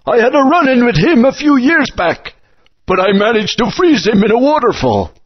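A man's voice: extremely high pitch, very animated, moderate pace, breathy edge, full character voice. An actor playing an old, fatherly dinosaur guardian in a video game, speaking in a grand, storytelling manner. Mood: wise. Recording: dull lo-fi game audio.

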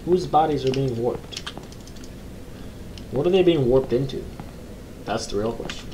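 A young man with a low voice commentating a horror game from his bedroom, joking with mock bravado and reading the game's text aloud. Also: unhurried, dark tone. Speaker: gaming YouTuber. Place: small bedroom, indoors. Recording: noisy home recording.

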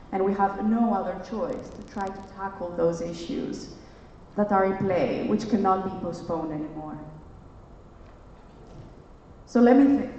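A woman's voice: medium pitch, a little mellow, slight background noise. A young woman from Greece speaking on a conference stage, earnest and searching for words, often restarting her phrases. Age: young adult. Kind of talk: onstage dialogue, reflective. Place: large hotel conference hall, on stage. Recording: distant, echoey hall, dull sound.

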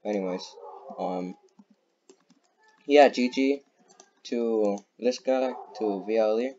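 A young man casually commenting on a racing game, excitable and rambling with lots of fillers and laughs. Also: on the low side, takes it slowly, lo-fi sound, a little hiss or hum. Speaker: gaming YouTuber.

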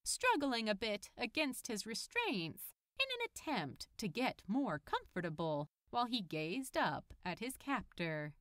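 A woman reading fan fiction aloud as narrator, in an expressive storytelling style. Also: a little high, unhurried, hardly any pauses, no room sound, clean studio sound.

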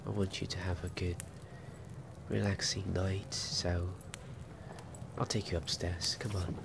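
Man playing a soft, low, creaky-voiced innkeeper. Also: little pitch movement. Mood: gentle, soothing. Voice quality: soft, breathy, often dropping to whisper. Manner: gentle, soothing, unhurried. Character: kindly, attentive male innkeeper of a wizarding pub. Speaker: ASMR roleplayer. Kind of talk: ASMR fantasy roleplay. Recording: background ambience noise under close voice.

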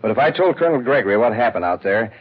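Husky, troubled space officer. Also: male voice, medium pitch, big pitch swings, very fast. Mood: troubled, earnest. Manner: formal, clipped military deference. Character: earnest young space patrol lieutenant, troubled but respectful. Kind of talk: 1950s radio drama acting. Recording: dull old 1950s radio recording.

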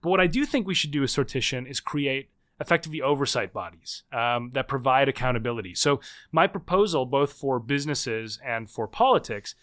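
A man in his thirties, a book author, explaining his ideas in a podcast interview with lively restarts. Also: medium pitch. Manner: animated, fast explaining with restarts. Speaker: guest author.

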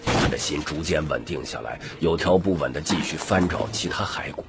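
A man's voice: very deep voice, lively intonation, normal speed, creaky. A man narrating an audiobook story in a dramatic, scripted storytelling style. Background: background music.

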